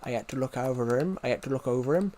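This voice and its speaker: A man's voice: medium pitch, fast talker, a little mellow, natural voice. Man putting on a thick West Country working man. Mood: yarn-spinning. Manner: rambling, run-on story, repeats himself. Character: gruff rural working man, rambling storyteller.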